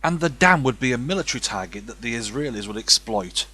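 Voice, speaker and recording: Eager cult follower, shrewd, bright forceful tone, medium pitch, matter-of-fact, audio drama. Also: male voice, expressive, fast talker, slightly rough. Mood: shrewd agreement.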